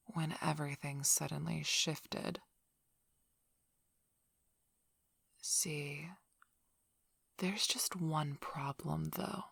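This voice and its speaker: ASMR roleplay role: protective alpha werewolf female, woman performer, husky and unhurried, quietly threatening, close dry mic. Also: low voice, dry recording, full character voice. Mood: suspicious, interrogating. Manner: slow, menacing, suspicious, often breathy whispering.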